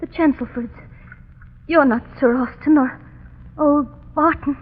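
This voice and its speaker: High-voiced haunted young heiress. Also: female voice, a little slow. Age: young adult. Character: haunted, fragile young heiress, earnest and fearful. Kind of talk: 1930s radio melodrama acting. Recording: muffled, echoing 1930s radio recording.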